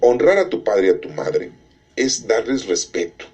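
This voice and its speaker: Middle-aged guy w a lowish voice going thru the commandments, emphatic, keeps asking the room questions. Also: sing-song, normal speed, darkish tone. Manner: emphatic, with rhetorical questions and tag checks. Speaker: religious teacher. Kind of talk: catechism explanation.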